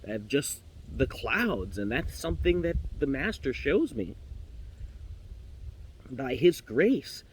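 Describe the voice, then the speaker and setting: A man's voice: mid-range voice, very animated, takes it slowly, pauses often, poor audio. A sheltered, devout female pet canary, as a man puts her on for a storybook tale. She stammers and gets flustered, defending her small world. The voice often lifts a little, over faint background noise. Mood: earnest, defensive.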